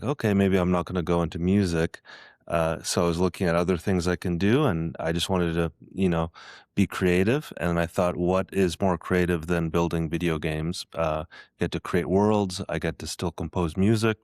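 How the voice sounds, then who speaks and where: A man's voice: low-pitched, a little mellow, no room sound, studio quality. A man talking as a podcast guest in a casual conversation, hesitant, with many fillers and restarts. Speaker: guest, iOS app developer.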